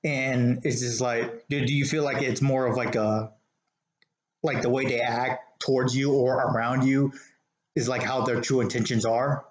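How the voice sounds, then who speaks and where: A man's voice: medium pitch, level tone. Echoey, rambling man telling casual stories. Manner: rambling and halting, peppered with "like". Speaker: show host, rideshare driver telling stories. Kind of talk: casual chat, storytelling. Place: living room, indoors. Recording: roomy, echoey living room.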